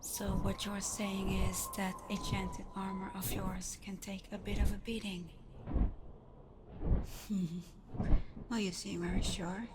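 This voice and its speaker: A woman voicing a proud, guarded but softening female water dragon in an ASMR roleplay, speaking softly and teasingly with breathy murmurs. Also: medium pitch, level tone, moderate pace, few pauses, natural voice. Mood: curious, teasing. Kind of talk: ASMR roleplay, scripted character monologue. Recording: clear background ambience noise.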